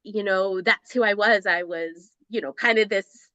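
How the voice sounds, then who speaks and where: Chatty bright-voiced woman author. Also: mid-range voice, normal speed, no echo. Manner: enthusiastic, chatty, laughs often, restarts sentences. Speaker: romance author, guest. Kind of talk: casual interview chat.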